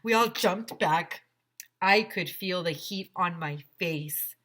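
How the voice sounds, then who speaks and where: A woman teacher reading a children's story aloud in an expressive, simple storytelling manner. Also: on the low side, slow.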